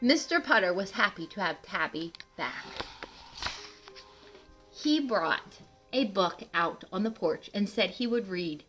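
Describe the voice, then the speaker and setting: Woman, bright voice, slow-paced children's read-aloud, animated, questions to listeners, soft music behind. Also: medium pitch, poor audio. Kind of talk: expressive children's picture book read-aloud with asides.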